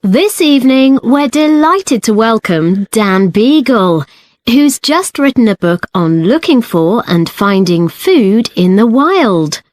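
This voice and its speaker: A welcoming host doing a scripted intro, slow, slightly high voice. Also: female voice, very animated, no echo, studio quality. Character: welcoming female host introducing an evening speaker. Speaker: event host. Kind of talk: scripted introduction of a guest speaker.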